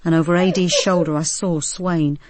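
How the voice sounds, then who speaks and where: An actress playing a policewoman who narrates her own ordeal in a grave, measured voice for a radio drama. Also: on the low side, fairly even intonation, crowd noise, natural voice. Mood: shaken, dramatic. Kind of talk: first-person dramatic narration.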